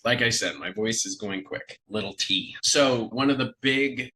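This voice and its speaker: A man with a nasal, congested voice from a cold, giving a measured market update in a live webinar, often restarting phrases. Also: lowish voice, pushed and bright, slight background noise, low-quality recording. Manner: measured, explanatory, with frequent restarts. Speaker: financial advisor.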